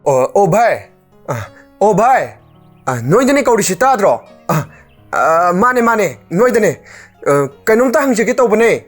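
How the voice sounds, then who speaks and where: A man playing a polite, halting young stranger who has lost his way in an audio drama. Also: sits high, big pitch swings, faint music behind. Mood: hesitant, pleading.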